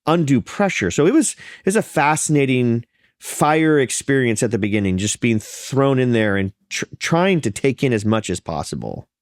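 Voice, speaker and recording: A man with a slightly congested, faintly nasal voice at an ordinary middle pitch, telling his story earnestly and circling back to restart his sentences. The recording is dry and close, with no room around him. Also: sing-song, moderate pace, dry recording. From Florida. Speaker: pastor and podcast guest. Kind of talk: conversational interview.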